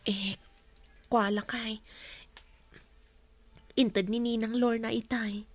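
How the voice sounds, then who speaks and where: A woman's voice: fairly high pitch, level tone, slow pace, soft background music. A woman playing a meek, earnest young wife with an anxious, deferential way of talking, in a radio drama. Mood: embarrassed, low.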